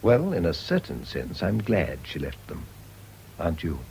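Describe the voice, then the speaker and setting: A man's voice: sits low, wildly swooping pitch, moderate pace, creaky, a little mellow, a little hiss or hum, heavily acted, poor audio. A British man acting a wry, irritable wealthy middle-aged husband in a radio drama, with a dry, sardonic manner. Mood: subdued.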